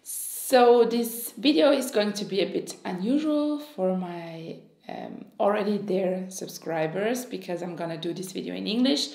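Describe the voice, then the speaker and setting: A woman in her thirties from Switzerland, Croatian by first language, gushing excitedly through a beauty unboxing to camera at home. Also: medium pitch, measured pace, creaky, echoey room. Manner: excitable, gushing, with sighs and small laughs. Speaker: beauty vlogger. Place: home room, indoors.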